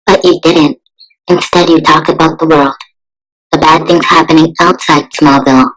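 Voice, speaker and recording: A woman's voice: sits low, steady tone, moderate pace, fairly bright tone, poor audio. An actress playing a wistful, heartbroken small-town sweetheart, telling her story in a dramatic motion comic style. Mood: wistful, wounded.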